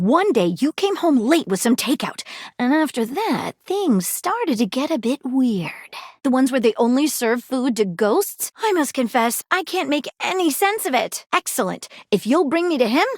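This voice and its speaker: A woman voicing an earnest, flirtatious, plain-spoken amateur detective in a video game, with theatrical, deliberate phrasing. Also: high voice, no room sound, studio quality. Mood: intrigued, earnest. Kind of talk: expressive scripted game character dialogue.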